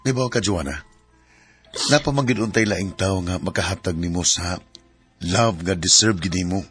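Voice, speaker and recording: A man's voice: low-pitched, moderate pace, a bit bright, slightly rough, soft background music. A man playing a devoted male best friend in a radio drama, earnest and pleading. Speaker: best friend turned suitor.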